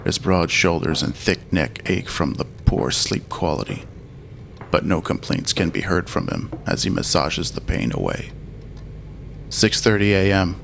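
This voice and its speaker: Man, scripted narration, deep register, vocal fry, audio drama storyteller. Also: animated, a little slow, fairly bright tone, a little hiss or hum.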